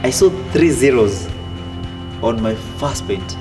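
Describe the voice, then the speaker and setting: A man, telling his own story in a relaxed way with many fillers and restarts. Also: medium pitch, slow. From Kenya. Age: 30s. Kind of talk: casual personal storytelling. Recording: distant mic with music behind.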